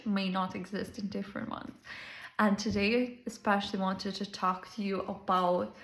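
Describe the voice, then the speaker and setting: A woman's voice: mid-range voice, vocal fry, echoey room, rough recording. A woman with a Serbian accent, a Serbian native speaker, talking as a life coach in an earnest, reflective monologue with simple non-native grammar.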